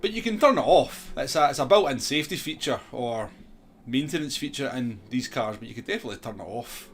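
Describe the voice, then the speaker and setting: A man's voice: mid-range voice, expressive, brisk, a little hiss or hum. A man hosting a gaming livestream, chatting casually and replying to viewers as he goes. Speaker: livestream host. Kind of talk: casual gaming stream chat.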